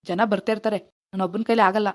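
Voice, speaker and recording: A woman's voice: mid-range voice, steady tone, voice pushed down, natural voice. A woman voicing a put-upon young husband in a domestic drama, pleading and complaining. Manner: pleading, complaining, quick to give in. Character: put-upon young husband running a small tea stall. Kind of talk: amateur domestic drama acting.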